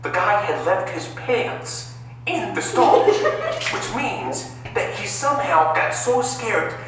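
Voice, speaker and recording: A man telling a story for laughs in an animated, theatrical way, recorded at a distance with noise. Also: medium pitch, takes it slowly. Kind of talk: humorous storytelling and mock-dramatic reading. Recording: roomy, distant, clearly noisy sound.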